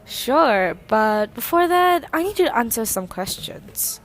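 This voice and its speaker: A woman's voice: a little high, big pitch swings. A woman playing an obsessive, eerily polite male host, a grieving maniac, in an amateur radio drama. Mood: eerily polite, insistent. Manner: insistent, deliberate, menacing politeness.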